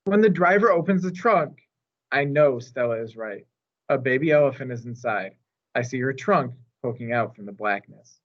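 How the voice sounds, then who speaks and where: A man reading a children's story aloud as narrator, in an expressive storytime style. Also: medium pitch, moderate pace, muffled recording. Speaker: storytime reader.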